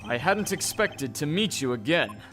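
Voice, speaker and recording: A man's voice: on the high side, big pitch swings, normal speed, fairly bright tone. Guy voicing a cold stuck-up prosecutor, formal and clipped, game fan dub, faint music behind. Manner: stiff, formal, clipped delivery. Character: cold, haughty rival prosecutor, adult man. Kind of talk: visual novel fan dub.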